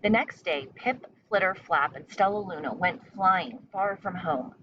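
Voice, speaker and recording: A woman's voice: fairly low pitch, a little slow, far from the mic, muffled recording, a little hiss or hum. A woman reading a children's story aloud as narrator. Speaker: storybook reader.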